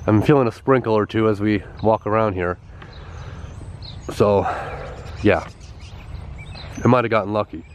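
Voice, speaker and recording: A man with a stuffed-up, congested voice, casually vlogging outdoors at a rural schoolhouse and talking in halting bits full of pauses and fillers. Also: fairly low pitch, a little hiss or hum. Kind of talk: casual walk-around vlog narration.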